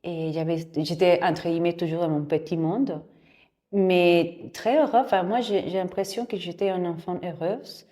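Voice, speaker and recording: A woman's voice: fairly low pitch, steady tone, normal speed, mellow. Hesitant woman. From Mexico. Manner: hesitant, many restarts and fillers. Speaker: guest. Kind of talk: casual interview. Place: yoga studio.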